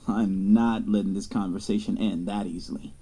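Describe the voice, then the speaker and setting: A man's voice: on the low side, fairly even intonation, normal speed, warm tone, breathy edge. An actor playing a young male athlete, guarded and curious, narrating his own story in an audio drama. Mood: curious, probing. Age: young adult. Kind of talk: first-person audio drama narration and dialogue.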